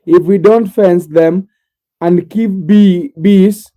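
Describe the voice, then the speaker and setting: A man's voice: high-pitched, slow, lo-fi sound. A Kenyan man casually chatting with viewers on a live stream from his sitting room, sounding drowsy and repeating himself often. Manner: repetitive, drowsy, talks directly to viewers by name.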